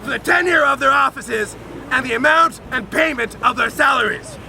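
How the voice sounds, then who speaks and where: A man giving a loud, dramatic, emphatic reading of a historical document outdoors, with deliberate phrasing. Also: very high-pitched, gravelly. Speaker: reader at an outdoor public reading. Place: outdoors on a lakeside beach. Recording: outdoor crowd and motorboat noise.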